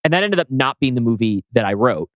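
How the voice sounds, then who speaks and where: A man's voice: medium pitch, sing-song, fast talker, fairly bright tone, dry recording. A man with a mid-range voice chats in a dry, close recording as a podcast co-host and writer. He explains his ideas casually, throwing in rhetorical questions and the odd swear word. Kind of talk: casual chat about own writing.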